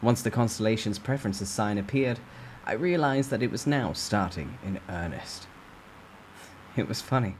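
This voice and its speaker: A man's voice: on the low side, lively intonation, normal speed, slight background noise. A man reading a first-person story aloud as a dramatic storytime narration.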